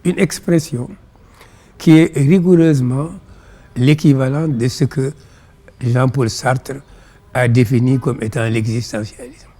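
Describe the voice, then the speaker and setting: A man with a Senegalese accent and Pulaar as his first language, explaining ideas in measured, didactic phrases as an interview guest. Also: mid-range voice, steady tone, warm tone. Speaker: interview guest, philosophy author.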